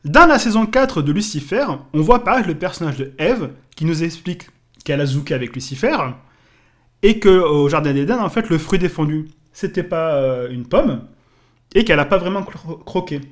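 A man with a somewhat high voice co-hosts a casual podcast. He runs through references at a brisk clip, with short agreeing replies in between. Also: expressive. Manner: brisk, says "voilà" often. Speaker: podcast co-host. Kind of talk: casual chat listing references.

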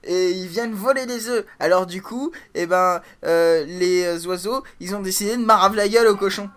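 A man's voice: high-pitched, moderate pace, a bit bright. A man co-hosting a casual comedy podcast, hesitant at first, then playful as he explains things simply. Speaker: podcast co-host.

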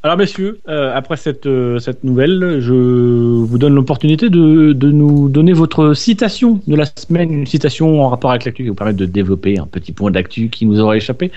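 A man's voice: mid-range voice, a little fast, hardly any pauses, mellow, highs cut off. A man hosting a casual motorsport chat, often repeating words as he searches for the next one. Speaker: podcast host.

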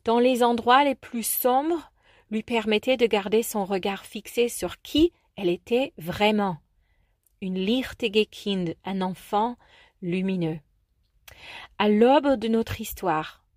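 A woman hosting a reflective spiritual chronicle, speaking in a soft, contemplative manner with gentle emphasis. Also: fairly high pitch, no room sound, clean studio sound. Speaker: chronicle host.

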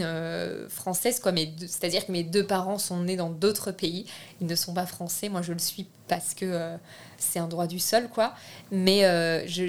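A young woman from France, a filmmaker speaking as a guest in a relaxed interview. Her voice sits a little low. She hesitates often, fills pauses and restarts her sentences as she searches for words. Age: young adult. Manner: hesitant, many restarts and fillers. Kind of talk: casual interview.

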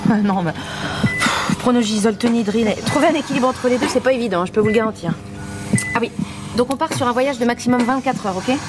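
An actress playing a brisk, chatty woman scientist giving a technical briefing in an audio drama. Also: a little high, noisy background, lightly acted. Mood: matter-of-fact.

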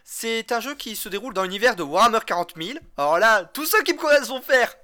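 Male, high sharp voice, geeky enthusiast co-hosting a casual gaming podcast. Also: animated, fast. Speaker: co-host of a video game podcast.

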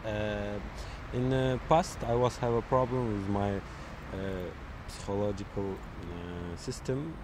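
A man being interviewed outdoors, speaking haltingly with many fillers as he searches for words. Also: on the low side. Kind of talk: casual street interview. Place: outdoors on a city street. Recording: outdoor street noise with traffic.

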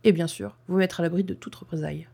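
A woman playing a stern, composed police inspector in an audio drama, speaking formally and methodically with a slightly husky voice. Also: lowish voice, fairly even intonation, mellow, a little hiss or hum. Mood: calm, persuasive. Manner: formal, measured, procedural. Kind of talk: scripted audio drama dialogue.

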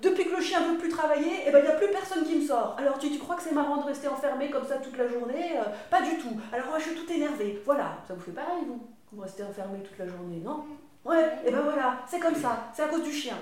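A woman voicing a fidgety, excitable female ewe who chatters fussily. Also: a little high, brisk, recorded from a distance, natural voice. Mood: agitated. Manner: fussy, breathless chatter. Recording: very echoey room.

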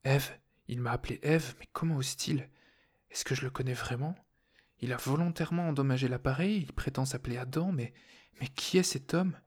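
A man's voice: mid-range voice, a little fast, lightly acted. An angry female astronaut in a science fiction audiobook, voiced by a man. She is distrustful and indignant, and her way of talking is accusing and full of questions. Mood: frightened, accusing.

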